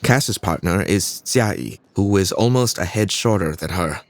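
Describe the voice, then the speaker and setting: Low-voiced, introspective young Singaporean fighter. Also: male voice, lively intonation, measured pace. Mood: reflective. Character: earnest, introspective young male MMA fighter telling his story. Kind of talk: scripted first-person audio drama narration.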